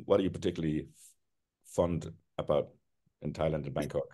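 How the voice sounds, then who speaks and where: A man from Iceland, hosting a casual interview and talking in halting bursts full of restarts and fillers. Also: extremely low pitch, warm tone. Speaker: podcast host.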